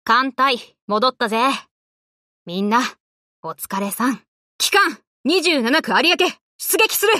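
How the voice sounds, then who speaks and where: An actress voicing a brash, cocky but loyal young tomboy warship girl in an anime game, with rough, boyish, casual speech. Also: on the high side, sing-song, slow pace, sharp tone, dry recording, clean studio sound, exaggerated voice. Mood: casual, friendly, then fierce, commanding. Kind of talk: Japanese anime game character voice lines.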